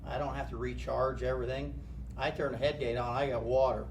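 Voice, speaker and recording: A farmer on a panel, answering questions in a plainspoken way with many halting restarts. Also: male voice, mid-range voice, little pitch movement. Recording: distant mic, noisy room.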